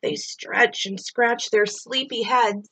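A middle-aged woman doing an expressive read-aloud of a rhyming children's story, drawing out words and sound effects like a teacher reading to pupils. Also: on the high side, takes it slowly, breathy edge.

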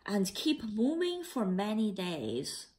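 A woman reading a children's picture book aloud in a careful, slightly halting way. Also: medium pitch, huge pitch swings, darkish tone. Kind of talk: read-aloud storytelling.

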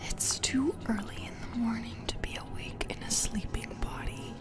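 A woman voicing a possessive sleep paralysis demon in an ASMR horror roleplay, speaking in a breathy, intimate whisper with menacing tenderness. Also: a little high, fairly even intonation, moderate pace, loud music behind the voice, close to an everyday voice. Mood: eerie, coaxing. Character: sinister, possessive female sleep paralysis demon, teasing and soothing.

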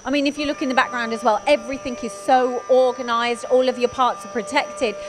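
Upbeat high-voiced woman interviewer. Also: fairly even intonation, brisk. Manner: upbeat, leading questions with tag endings. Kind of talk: on-site factory interview. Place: machine workshop indoors. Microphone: handheld mic.

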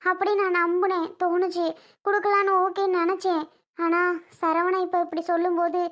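A woman playing a young mother in a family drama, gently explaining herself, apologetic yet resolute. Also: extremely high pitch, lo-fi sound. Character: apologetic but resolute young mother keeping her child.

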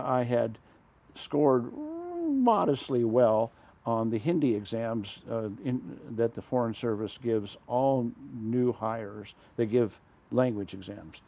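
An older man, a retired diplomat, recalling his career in a relaxed studio interview, halting often with uh and small self-corrections. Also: medium pitch, vocal fry, husky. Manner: rambling, halting, frequent uh and self-corrections, chuckles. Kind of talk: conversational storytelling interview. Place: small dark studio set, indoors. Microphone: lapel mic.

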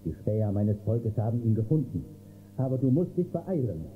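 A man playing a solemn shaman who speaks in a measured manner in a children's radio play. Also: medium pitch, sing-song, lightly acted. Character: solemn native shaman bringing counsel. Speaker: actor in audio drama. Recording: muffled thin cassette, faint noise.

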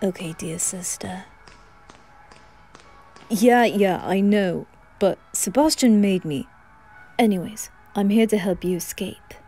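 A woman's voice: mid-range voice, slow, pauses often, mellow, soft background music. Woman doing an irritable, urgent young boy. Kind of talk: ASMR roleplay fan audio drama.

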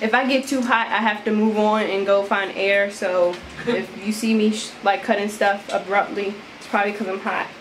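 A woman's voice: mid-range voice, steady tone, moderate pace, a little hiss or hum, poor audio. A woman in her twenties chatting casually as a vlogger, recorded on a handheld camera indoors. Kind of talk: casual behind-the-scenes vlog chat. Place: indoors backstage at a school theater. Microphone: handheld camera at arm's length. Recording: loud music over the voice. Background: music.

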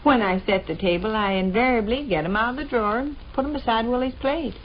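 A woman's voice: fairly high pitch, fast talker, close to an everyday voice. An American woman playing a teasing, practical housewife and mother in a folksy, chatty 1940s radio comedy style. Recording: dull, noisy 1940s radio recording.

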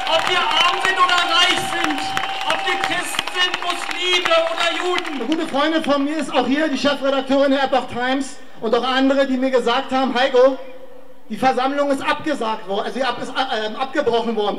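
A man addressing a crowd from a stage, speaking in a shouting, declamatory way. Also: extremely high pitch, noisy background. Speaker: rally speaker. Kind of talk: speech to a crowd. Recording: echoey PA sound with crowd.

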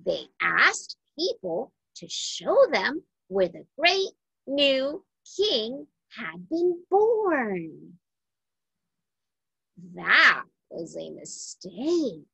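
A woman reading a story aloud to children with deliberate, dramatic phrasing. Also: on the high side, sing-song, gravelly, pushed and bright, husky, no room sound, low-quality recording. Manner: deliberate, dramatic phrasing with questions to young listeners. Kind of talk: expressive scripted read-aloud for children.

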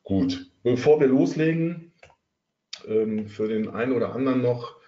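A man's voice: mid-range voice, lively intonation, mellow. Man with a cold giving a webinar. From Germany. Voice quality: halting, many filler sounds. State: has a cold. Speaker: trading coach. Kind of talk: webinar presentation. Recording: roomy, muffled webinar audio.

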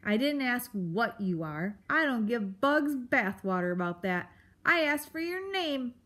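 Woman acting a shrill, snarling, grumpy storybook beast. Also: very animated, a little slow, fairly bright tone. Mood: cranky, exasperated. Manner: snarling, snapping, brash and insulting. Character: grumpy old male porcupine-like beast, blustering, crotchety. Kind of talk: children's storybook character voice.

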